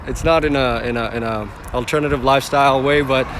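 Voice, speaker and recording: A man's voice: mid-range voice, fairly even intonation, fast talker, background noise. A man from the former Yugoslavia chatting casually with livestream viewers from inside a car, rambling, repeating himself and answering the chat. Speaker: rideshare driver livestreaming. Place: inside a car on city streets.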